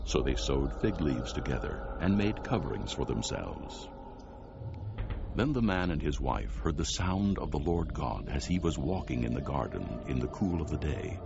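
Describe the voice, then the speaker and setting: A man narrates scripture as a solemn storyteller. His voice is exceptionally low and gravelly, rising and falling expressively in a scripted dramatic reading. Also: noisy background. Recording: dull sound with music bed.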